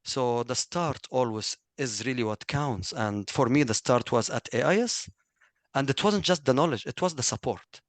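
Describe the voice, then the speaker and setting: A man's voice: mid-range voice, lively intonation, moderate pace, no room sound. A middle-aged man from Egypt with a thick Egyptian Arabic accent, giving an earnest testimonial in a webinar, full of fillers and self-corrections. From Egypt, raised in Kuwait. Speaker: former student speaker.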